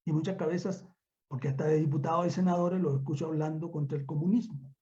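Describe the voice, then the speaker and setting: A man's voice: on the high side, steady tone, fast talker, warm tone. An older man with a Chilean accent lecturing off the cuff as a professor, emphatic and repeating words for weight. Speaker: university professor, guest speaker. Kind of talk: extemporaneous online academic lecture. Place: remote online conference call. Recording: dull lo-fi online call audio.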